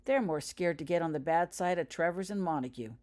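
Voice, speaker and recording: An earnest, stubborn schoolboy from an audiobook, read by a woman. He is blunt and matter-of-fact, in a low female register. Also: a little fast, a little mellow, natural voice.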